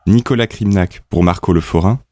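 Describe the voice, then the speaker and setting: A man's voice: sits low, moderate pace, mellow, a little hiss or hum. A man announcing the cast credits of an audio drama in a scripted read.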